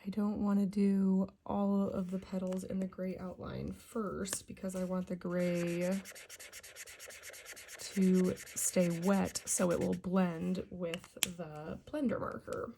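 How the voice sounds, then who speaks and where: A woman hosting a coloring channel, chatting casually while she works, with a raspy voice and a soft, relaxed, slightly self-conscious delivery that often drops to a half whisper. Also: on the low side, slow pace, a little hiss or hum. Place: home.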